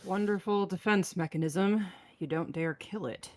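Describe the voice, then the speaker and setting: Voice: mid-range voice, normal speed, lightly acted. A performer playing a blunt, decisive spaceship captain in a sci-fi script read, terse and commanding.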